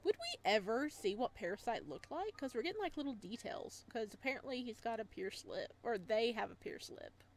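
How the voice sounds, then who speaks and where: A woman's voice: on the high side, sing-song, moderate pace, hardly any pauses, slight background noise. A woman hosting a gaming video, giving casual, chatty, giggly let's play commentary. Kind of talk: let's play commentary and reading game text aloud.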